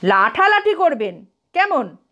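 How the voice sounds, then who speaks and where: A woman's voice: high-pitched, big pitch swings, slow pace, bright, forceful tone, full character voice. A woman voicing a wry, bookish young man who teases drily during an argument in a story reading. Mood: cool, teasing.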